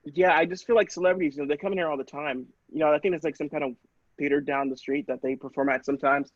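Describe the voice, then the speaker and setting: Guy doing nervous fanboy gas station worker, highish voice, hesitant improv rambling, dry sound. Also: fairly even intonation, fast talker, no room sound, lo-fi sound, lightly acted. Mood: self-pitying. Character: star-struck, self-pitying, nervous gas station clerk. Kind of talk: improvised sketch comedy.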